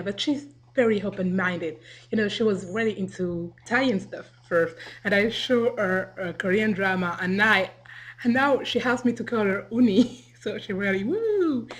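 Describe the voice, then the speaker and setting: Chatty young woman from Africa. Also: medium pitch. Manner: chatty, rambling, laughs often, lively acted quotes. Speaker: vlogger. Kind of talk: casual video response to the camera.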